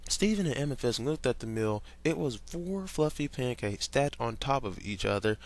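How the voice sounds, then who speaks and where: Halting male amateur story narrator. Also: mid-range voice, animated. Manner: halting, stumbles over words. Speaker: fan fiction reader.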